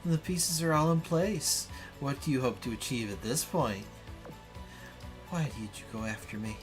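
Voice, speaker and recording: A man's voice: mid-range voice, moderate pace, faint music behind, natural voice. Man voicing a scornful, taunting female villain. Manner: theatrical, scornful, pressing rhetorical questions. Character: mocking, taunting female villain, a dark double.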